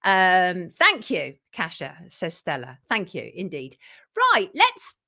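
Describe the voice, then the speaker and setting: A woman's voice: on the low side, huge pitch swings, slow pace, gravelly, pushed and bright. A woman hosting a live-stream talk show, chatty and effusive, reading viewer comments aloud. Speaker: host.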